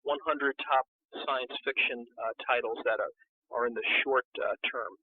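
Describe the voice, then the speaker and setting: A man's voice: mid-range voice, steady tone, moderate pace, slightly rough, no room sound. A man speaking as an interview guest, a book publisher, answering casually over a tinny call line.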